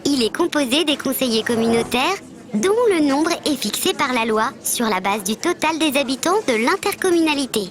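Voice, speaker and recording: A woman voicing a friendly bird mascot who presents scripted civics explainers in a didactic, structured way. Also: sits high. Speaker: presenter of an educational programme. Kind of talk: scripted educational narration.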